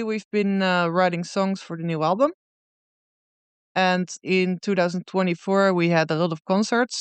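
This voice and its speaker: A woman in her thirties with a Dutch accent answers interview questions, her voice very clear and smooth. She speaks in a thoughtful, unhurried way, pausing often. The sound is dry and close. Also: deep voice, dry recording. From Netherlands. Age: 30s. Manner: thoughtful, unhurried, many pauses. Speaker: guest musician.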